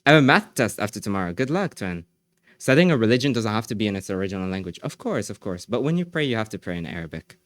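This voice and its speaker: A man streaming live, casually reading and answering chat messages, often trailing off quietly at the ends of his phrases. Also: on the low side, big pitch swings, fast, no room sound.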